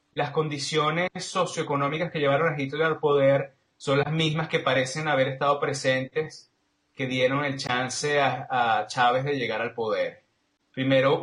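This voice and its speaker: Male interview guest, Venezuelan accent, slightly high voice, analytical and explanatory, recorded with marked room echo. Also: fairly bright tone. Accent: Venezuelan Spanish. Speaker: interview guest, political commentator.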